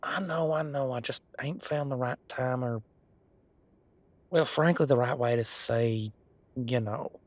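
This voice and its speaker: A man's voice: mid-range voice, unhurried, no room sound, close to an everyday voice. A man voicing a folksy, earnest young head of security who talks hesitantly and rambles in an audio roleplay drama. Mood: flustered.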